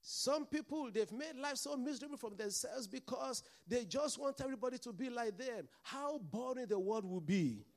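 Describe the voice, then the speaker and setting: Animated preacher with a mixed Nigerian-Scottish accent. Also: male voice, extremely high pitch, moderate pace, few pauses. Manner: animated preaching, calls for congregation responses. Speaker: pastor. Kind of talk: sermon.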